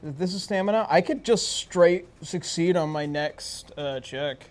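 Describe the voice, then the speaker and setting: A man's voice: on the high side, unhurried. A man playing a tabletop role-playing game, bantering casually and declaring moves.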